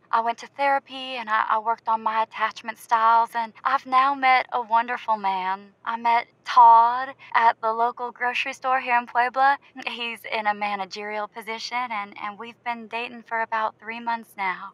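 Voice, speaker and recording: A woman acts out a lovelorn caller to a comedy advice show. Her voice is high and bright, with an earnest, gushing, hesitant delivery and strong emphasis. The recording is close and dry. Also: no room sound, muffled recording, natural voice. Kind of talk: improvised comedy call-in.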